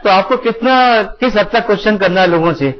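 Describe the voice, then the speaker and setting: High-voiced man explaining on a panel. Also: brisk, a little hiss or hum. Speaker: cartoonist, panel guest. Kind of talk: explanatory live TV discussion. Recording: dull, muffled TV broadcast audio.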